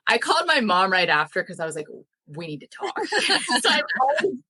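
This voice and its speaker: A woman's voice: on the high side, very animated, moderate pace, pushed and bright, husky. A young woman in a casual podcast interview, talking about her farm and language work in an enthusiastic, chatty way with many fillers. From United States. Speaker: guest, language educator and dairy farmer.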